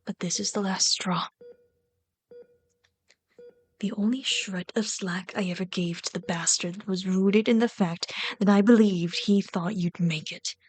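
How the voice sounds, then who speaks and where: A woman's voice: mid-range voice, fairly even intonation, darkish tone, lightly acted. A woman playing an obsessive, lovelorn villain in an ASMR roleplay, bitter and pleading, speaking intimately and dramatically with frequent drops toward a whisper. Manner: slow, intimate, dramatic delivery, often dropping to a whisper.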